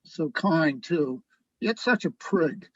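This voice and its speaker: A man playing a tipsy, cynical army doctor in a radio play, blunt and weary, with a gravelly, husky voice. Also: fairly high pitch, lively intonation, takes it slowly, a bit bright, dry recording, muffled recording, heavily acted. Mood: weary, bitter. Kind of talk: dramatic radio play acting.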